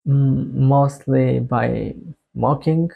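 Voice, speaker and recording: A young man from Bulgaria with a Bulgarian accent, talking casually to his viewers in a halting, hesitant way. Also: mid-range voice, vocal fry, narrow, tinny audio. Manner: halting, hesitant delivery with long phrasing breaks. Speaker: YouTuber introducing a music channel. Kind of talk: casual to-camera monologue.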